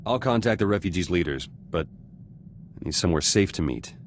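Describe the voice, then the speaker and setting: A gruff rebel turned reluctant politician in a sci-fi game. His voice is very low, and he talks in blunt, clipped, dry phrases. Faint noise runs behind the dialogue. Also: lively intonation, fairly quick. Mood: businesslike, determined. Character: gruff, terse male resistance fighter turned reluctant politician. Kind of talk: cinematic video game voice acting.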